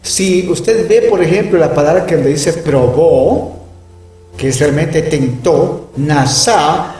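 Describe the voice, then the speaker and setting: A middle-aged man with a mellow, slightly higher voice teaches a Bible lesson from some distance off the mic. He speaks emphatically and keeps checking in with his listeners. The room sounds echoey. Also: slow, faint music behind. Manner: emphatic, peppers speech with "¿verdad?". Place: room with closed blinds.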